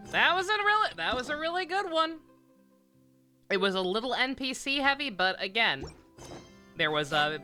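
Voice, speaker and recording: Bright-voiced, rambling male streamer. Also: fairly high pitch. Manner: rambling, enthusiastic. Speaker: game streamer. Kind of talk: casual livestream commentary.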